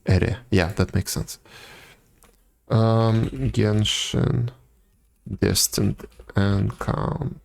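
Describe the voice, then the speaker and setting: A man chatting casually as a live game streamer, musing aloud in a low-key, halting way. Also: fairly even intonation, gravelly.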